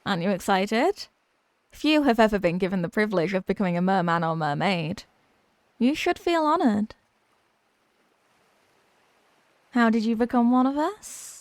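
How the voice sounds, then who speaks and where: A woman's voice: mid-range voice, very animated, moderate pace, dry recording, close to an everyday voice. A woman playing an obsessive, haughty young mermaid princess in a roleplay ASMR drama, sweetly smug and condescending. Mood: smugly reassuring.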